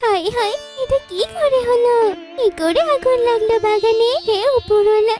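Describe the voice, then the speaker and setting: A woman's voice: extremely high pitch, moderate pace, over loud music. A woman voicing a worried, devoted mother bird in a children's cartoon, earnest and pleading. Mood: distressed, pleading.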